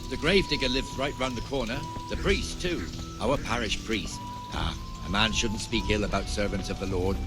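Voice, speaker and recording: An actor playing a gruff, wry veteran medieval watchman in a video game, plain-spoken and instructive, with music behind him. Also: medium pitch, close to an everyday voice. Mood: guiding, matter-of-fact. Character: gruff, wry veteran town watchman showing a recruit around.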